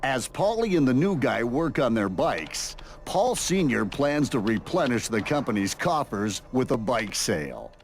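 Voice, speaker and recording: An actor playing a narrator of a reality show in a video game, delivering scripted, dramatic story links. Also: male voice, mid-range voice, very animated, unhurried, hardly any pauses, slight background noise. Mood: teasing drama.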